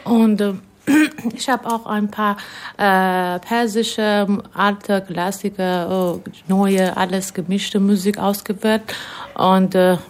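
A woman from Iran, a guest in a radio studio, speaking shyly with halting pauses. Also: medium pitch, fairly even intonation. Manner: shy, halting, with many pauses.

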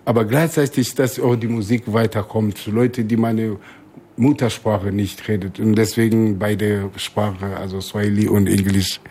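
A man from East Africa, a guest musician in a radio interview, speaking German as a learned language, halting and searching for words. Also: lowish voice, level tone, warm tone, husky. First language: not German.

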